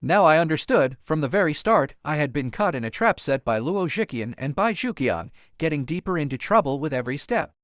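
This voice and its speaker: Male narrator for first-person fiction. Highish pitch, swooping delivery. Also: animated, a little fast, hardly any pauses, fairly bright tone, no echo, clean studio sound. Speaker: audiobook narrator.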